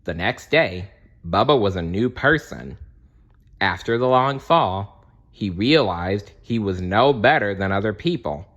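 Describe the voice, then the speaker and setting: A man reading a children's storybook aloud as scripted narration, dramatic and expressive. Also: fairly low pitch, unhurried, dull audio. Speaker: storybook reader.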